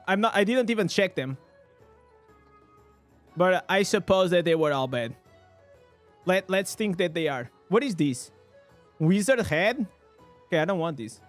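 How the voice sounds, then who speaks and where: A man with a high voice for a man streams a game live, narrating his play in English. He bursts into excited reactions over loot and chats casually with his viewers. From Portugal. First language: Portuguese. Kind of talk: live gameplay commentary.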